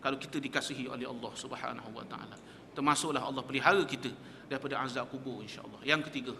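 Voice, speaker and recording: A man's voice: medium pitch, pushed and bright, a little hiss or hum. Male religious teacher, lecturing in a small mosque hall with a long reverb; a tense, pushing delivery. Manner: emphatic, rhetorical questions, quotes Arabic texts.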